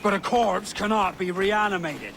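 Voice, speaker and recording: A man's voice: sits high, normal speed, creaky, fairly bright tone, a little hiss or hum. An actor playing a cautious, disillusioned pirate statesman who speaks soberly and eloquently in a video game cutscene.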